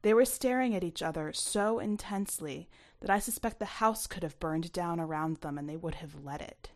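Lowish-voiced woman narrating a story. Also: moderate pace, darkish tone. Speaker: author reading own short story. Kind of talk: scripted first-person fiction narration.

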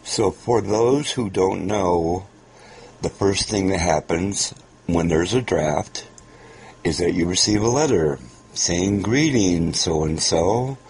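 A man's voice: low voice, a little mellow, slight background noise. A man hosting a podcast, giving an informal, explanatory introduction to an old radio show. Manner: unhurried, explanatory.

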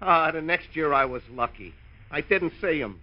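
Give me a frantic, nerve-shattered salesman from old radio comedy, high-voiced and swinging wildly in pitch. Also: expressive, normal speed. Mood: weary, rattled. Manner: agitated, building into hysterical shouting. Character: frantic, nerve-shattered department store salesman, a psychiatric patient. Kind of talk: 1950s radio comedy acting. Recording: dull lo-fi old radio broadcast.

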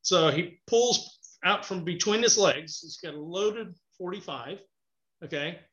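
A man from Cuba tells his stories as a guest author, animated and talking with his hands. His voice sits a little high and rings sharp and forceful. It comes over a remote call that sounds roomy and muffled. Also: a little slow. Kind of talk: casual storytelling interview. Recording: echoey, muffled call audio.

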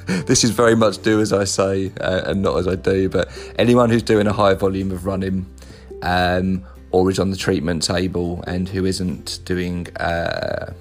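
A man with a low voice leaves a recorded message for a podcast host. His delivery is wry and teasing and full of little filler pauses. Faint music plays quietly behind him. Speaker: former podcast guest.

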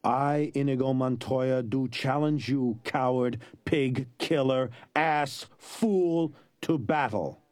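A man's voice: mid-range voice, takes it slowly, few pauses, a little mellow, no room sound, pitched above the performer's own voice, natural voice. A man voicing a fierce, defiant ten-year-old boy swordsman in an audiobook.

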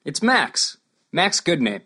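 Woman acting a husky, very low-voiced young squire. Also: very animated, slow, sharp tone, full character voice. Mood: shy. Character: young male squire, nervous, earnest, putting on bravery.

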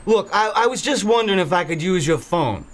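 An actor playing a grouchy, nervous recluse in an audio drama, irritable and prone to stammering. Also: male voice, sits high, expressive, a little fast. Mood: nervous pleading. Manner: irritable, stammering when anxious. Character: grouchy reclusive man, nervous and pleading under pressure.

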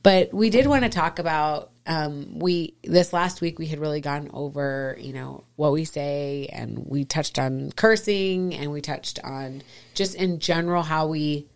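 A middle-aged woman co-hosting a podcast in casual chat, hoarse and raspy from a cold, chatty and quick to laugh. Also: deep voice. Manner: laughs often, chatty and playful. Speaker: podcast co-host.

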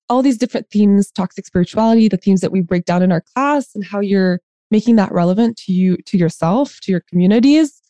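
A woman of about thirty speaks in a mellow, relaxed voice at an average pitch. She is articulate and reflective, explaining herself casually as a guest. The sound is dry and close. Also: dry recording. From born in Lebanon, later raised in Dubai. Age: around 30. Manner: articulate, reflective, frequent "like" asides. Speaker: podcast guest, former college professor. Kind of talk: casual conversational interview.

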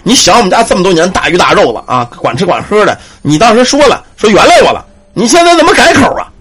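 Brief: storyteller as a jittery guard begging for mercy, husky, lively pitch. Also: male voice, fast talker, heavily acted. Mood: pleading. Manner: stammering, pleading. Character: frightened, groveling young palace guard.

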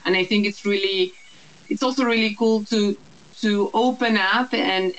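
A woman's voice: mid-range voice, lo-fi sound. A woman, talking as an interview guest in a reflective, casual way with frequent restarts. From Uruguay.

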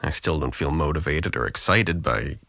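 Actor as a deep, gravelly, weary young man. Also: moderate pace, fairly bright tone, no echo, heavily acted. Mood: weary, downcast. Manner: dry, weary, sardonic. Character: depressed young man in therapy, guarded, wry, self-deprecating. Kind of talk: audio drama acting.

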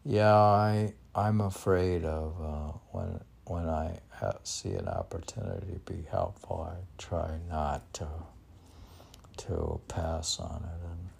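An older man reading his own poems and chatting, halting and soft, often restarting his words. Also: low voice, vocal fry, a little hiss or hum. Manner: halting, with frequent restarts and fillers. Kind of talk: poetry reading mixed with casual chat.

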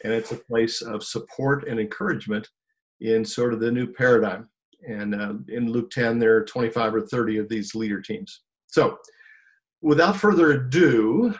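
A man hosts a faith-themed interview over a video call. His voice is mid-pitched, and he pauses often with ums and uhs as he introduces and questions his guests. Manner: hesitant, many ums and uhs. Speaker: host.

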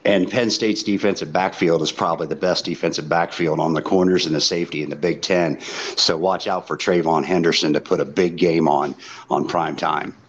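Very deep-voiced man hosting sports talk. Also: steady tone, moderate pace, breathy edge. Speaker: sports show host. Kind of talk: casual college football talk. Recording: dull lo-fi audio, faint background noise.

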